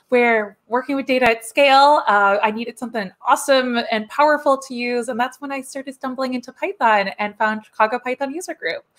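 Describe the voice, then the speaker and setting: A woman in her thirties answering casually as a guest speaker on a video call. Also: a little high. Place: room indoors.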